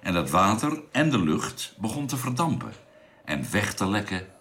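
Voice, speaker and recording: A man playing a knowledgeable space crewman in a science fiction radio drama, speaking in a measured, explanatory way over background noise. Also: fairly low pitch, very animated, fairly bright tone.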